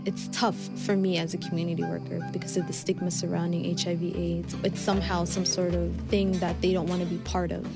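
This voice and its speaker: A woman's voice: on the low side, level tone, fairly quick, gravelly, few pauses. A young woman from Somalia who works as an HIV outreach coordinator, speaking thoughtfully with frequent fillers. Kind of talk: documentary interview and voice-over. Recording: voice-over with music underneath.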